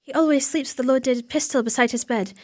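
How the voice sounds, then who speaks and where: A woman's voice: sits high, fairly quick, a little mellow. A woman acting as a guarded, courteous Brazilian servant in an audio drama, speaking formally. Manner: formal, uncontracted speech.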